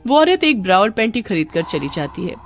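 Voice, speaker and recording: A woman reads a scripted story as its narrator. Her medium-pitched voice moves up and down in a lively way, with soft music in the background. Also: fairly quick.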